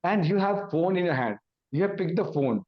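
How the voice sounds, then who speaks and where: A man's voice: sits high, animated, normal speed, lo-fi sound. A man with an Indian English accent, a native Hindi speaker, giving an awareness talk in simple, repeated phrases. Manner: explains in simple repeated phrases, acting out confused thoughts. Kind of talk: awareness talk with everyday examples.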